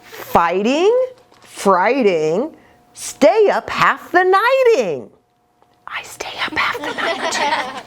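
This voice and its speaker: A woman's voice: high-pitched, huge pitch swings, drawn-out words. A woman hosting a children's storytime as a pastor, talking to young children with lots of questions and reading aloud with little asides. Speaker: children's ministry host and pastor.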